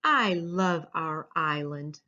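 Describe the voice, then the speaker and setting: Storybook part: tiny shy boy turtle female reader, innocent, sweet, slow-paced, lo-fi recording. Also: low voice, lively intonation, sharp tone, voice pushed down, full character voice. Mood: sweet, sincere. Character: tiny timid sweet male child turtle.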